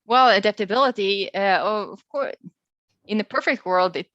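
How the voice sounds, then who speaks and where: A woman from Sweden speaking as a guest researcher, explaining haltingly in an unscripted webinar question session over a video call. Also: mid-range voice, a bit bright, no echo. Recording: dull, lo-fi video call audio.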